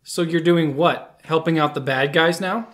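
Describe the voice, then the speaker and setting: A man's voice: on the high side, normal speed, a bit bright, lightly acted. A man acting an earnest, wary young hero in a fan audio drama. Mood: probing.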